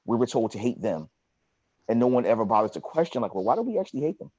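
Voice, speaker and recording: Man, podcast host, from new jersey, rapid speech, muffled call audio. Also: medium pitch, big pitch swings, a little mellow, husky, no echo. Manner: conversational, reflective. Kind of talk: casual interview. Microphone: video call.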